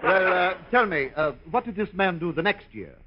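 An actor playing a psychiatrist who hushes and coaxes a patient in a 1950s radio comedy. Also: male voice, high voice, lively intonation, normal speed. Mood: puzzled, coaxing. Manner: hushing, stammering, coaxing. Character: prominent psychiatrist soothing and questioning an excitable patient. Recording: noisy, muffled old radio broadcast.

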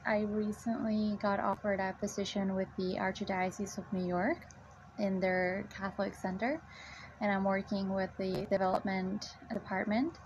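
A woman in her mid twenties from Mexico talks in a casual, chatty diary style, sprinkling in "like" as she goes. Her voice sits at an average pitch. The home recording is dull, with clear noise behind her. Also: flat delivery, normal speed. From Mexico, moved to Texas at fourteen. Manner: chatty, frequent "like" as a filler. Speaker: guest product tester. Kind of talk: casual spoken audio diary. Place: apartment.

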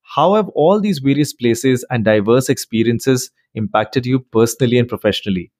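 A man's voice: medium pitch, expressive, normal speed, a little mellow, dry recording, studio quality. A man hosting a podcast, introducing and questioning his guest in an effusive, enthusiastic way. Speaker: podcast host. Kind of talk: interview hosting.

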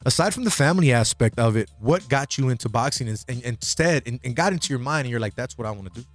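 This is a man hosting a sports radio show who talks fast and sounds eager, hyping up his guest. The studio sound is dry and close, with faint music underneath. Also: medium pitch, lively intonation, dry recording. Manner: upbeat, hypes the guest, asks probing follow-ups. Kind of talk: conversational interview.

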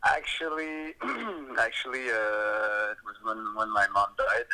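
A man calling in as a musician guest, soft-spoken and halting. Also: lowish voice, rough recording.

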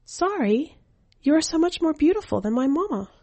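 A woman's voice: high-pitched, very animated, moderate pace, dark tone, no echo, pitched above the performer's own voice. A woman voicing a small, earnest and bold orphan boy in a short story, innocent and matter-of-fact. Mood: earnest, cheeky. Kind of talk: audiobook-style short story character voice.

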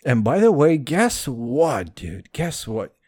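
A man's voice: medium pitch, slow pace, slightly rough, faint music behind. A man hosting a casual solo podcast, rambling and swearing as he drinks a beer and keeps calling his listeners dude. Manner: animated, profane, rambling, addresses listeners as dude. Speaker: podcast host.

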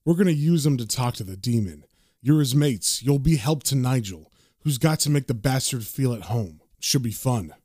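A man's voice: animated, normal speed, mellow, no echo, clean studio sound, lightly acted. A man voicing a cynical, hard-bitten male occultist in a comic-book horror story, bossy and slangy. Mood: dry, sardonic. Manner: low, sardonic, slangy, bossy.

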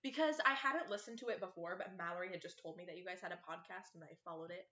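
A woman's voice: fairly low pitch, rapid-fire, pushed and bright. A young woman guesting on a podcast, gushing and enthusiastic. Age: young adult. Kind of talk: casual chat. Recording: roomy, slightly dull recording.

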